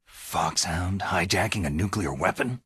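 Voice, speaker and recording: An actor playing a gruff, skeptical ex-soldier in a video game cutscene, with a terse delivery and a heavy rasp. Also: male voice, deep voice, measured pace, a bit bright, dry recording, exaggerated voice. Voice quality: very husky, gravelly rasp. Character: gruff, defiant retired special-forces soldier on a covert mission.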